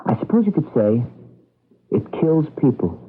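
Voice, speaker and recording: A man's voice: mid-range voice, sing-song, a little slow. Detached man of the future, tinny radio. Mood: cool explaining. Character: detached, knowing man of the future explaining coolly. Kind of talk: 1980s radio drama acting. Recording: muffled tinny radio recording, faint hiss.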